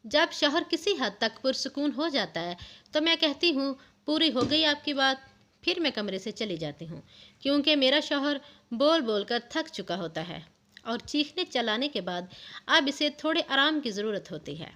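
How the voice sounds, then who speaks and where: A woman voicing a wise, patient elderly wife who gives calm, assured advice in a narrated story. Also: sits high, normal speed. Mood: calm advising. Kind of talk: storybook narration of interview answers. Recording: music under the voice.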